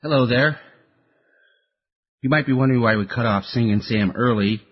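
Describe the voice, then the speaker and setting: A man with a husky voice casually introducing a radio program as its host. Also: mid-range voice, moderate pace. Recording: slightly dull, muffled sound.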